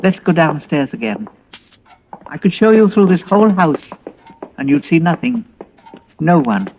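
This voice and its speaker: A woman's voice: low voice, big pitch swings, a little slow, darkish tone, slight background noise. Anxious older woman on muffled vintage radio. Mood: anxious, grave. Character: anxious, weary grandmother determined to flee her haunted house. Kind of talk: old-time radio suspense acting.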